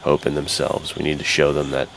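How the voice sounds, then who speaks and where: A man's voice: a bass voice, monotone, brisk, vocal fry. A man chatting casually as a podcast co-host in an earnest, reflective way. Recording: faint background noise.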